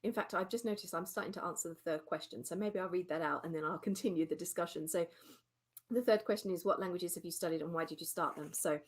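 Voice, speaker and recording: A woman's voice: lowish voice, quick pace. A woman from England chatting casually to her webcam in a home vlog, rambling a little with lots of ums. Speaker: vlogger. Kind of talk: casual chat. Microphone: desktop mic with webcam.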